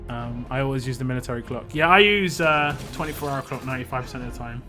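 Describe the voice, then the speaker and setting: Male game streamer, casual rambling chat, mid-pitched voice, clear game music underneath. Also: quick pace, pushed and bright. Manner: rambling, chatty, answers chat with laughs.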